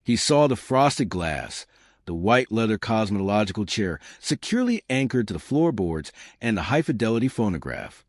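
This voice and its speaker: A man narrates a dark mystery audio story in a fairly low voice. His delivery is dramatic and deliberate, with lively swings of pitch. The sound is very dry and close. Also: no room sound.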